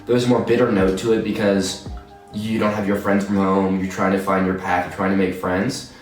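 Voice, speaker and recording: A man's voice: low voice, level tone, moderate pace, soft background music. A man in his twenties from Texas, an exchange student giving casual interview answers and rambling through restarts and repeated words. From Texas, USA. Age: 20s. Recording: echoey room, mic far off.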